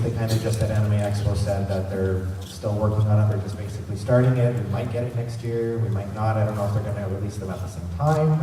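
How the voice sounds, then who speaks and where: A man hosting a fan panel at a convention, presenting informally in a chatty, loosely rambling way. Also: lowish voice. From Canada. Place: large convention centre room. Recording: echoey hall, distant mic, clear noise.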